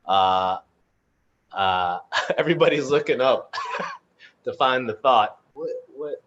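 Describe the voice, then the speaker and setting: A man's voice: mid-range voice, expressive, slow, fairly bright tone, dull audio. A man moderating a casual panel and sharing his own thoughts, hesitant and thinking aloud. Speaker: moderator and cast member.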